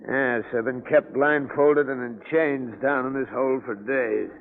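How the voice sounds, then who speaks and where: Casting: man as anguished imprisoned captain, creaky, halting, grave, on a narrow vintage radio recording. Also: medium pitch, steady tone, natural voice. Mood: grave, weary. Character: weary, fearful captive ship's captain. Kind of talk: 1940s radio drama acting. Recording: muffled, band-limited vintage radio.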